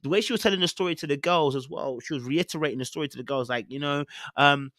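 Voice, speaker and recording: A young man with a London accent chatting casually as a podcast host, giving opinionated, slangy reality TV commentary with animated, theatrical retellings. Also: on the high side, quick pace, dry recording, clean studio sound.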